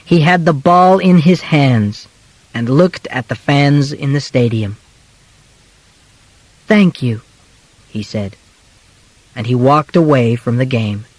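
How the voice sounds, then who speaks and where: A man reading a simple scripted biography as an audiobook, in deliberate, clearly separated phrases. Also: mid-range voice, dry recording, poor audio. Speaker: audiobook narrator.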